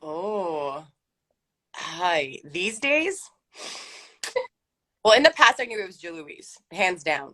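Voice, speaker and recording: Relaxed, laughing woman guest. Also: takes it slowly, long pauses. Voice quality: calls her own voice deep. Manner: relaxed, laughs often, rambling asides. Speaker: guest actress. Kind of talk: casual video chat interview.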